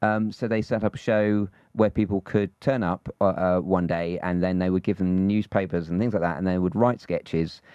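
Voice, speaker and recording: A man's voice: deep voice, fairly even intonation, normal speed, hardly any pauses, a little mellow, clear, resonant tone, dry recording, dull audio. A man co-hosting a podcast in casual chat, rambling and hesitant, with many restarts. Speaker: podcast co-host.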